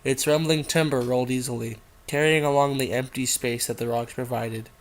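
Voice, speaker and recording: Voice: mid-range voice, fairly even intonation, normal speed. A host casually reading fan fiction aloud and rambling off into irreverent, giggly side comments. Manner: giggly, irreverent, easily sidetracked.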